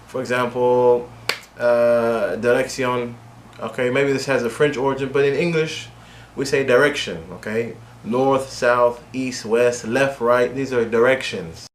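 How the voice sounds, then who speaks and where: Male English teacher, mid pitch, direct coaching delivery, reverberant room, light background noise. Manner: direct, repetitive coaching with frequent "okay?" checks. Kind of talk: instructional video lesson. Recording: echoey room with faint ambient noise.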